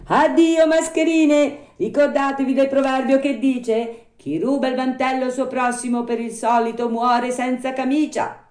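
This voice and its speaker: A woman's voice: medium pitch, lively intonation, unhurried, dark tone, pitched above the performer's own voice, lightly acted. A woman voicing an earnest, cheeky puppet boy in a storybook, with emphatic, sing-song delivery. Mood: mocking, scornful.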